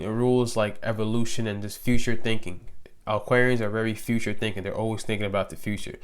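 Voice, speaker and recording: A man's voice: fairly low pitch, smooth. A young man with a cold, sniffling, explaining astrology in loose, slangy casual talk with lots of fillers. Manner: laid-back, slangy and loose, many fillers. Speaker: astrology YouTuber.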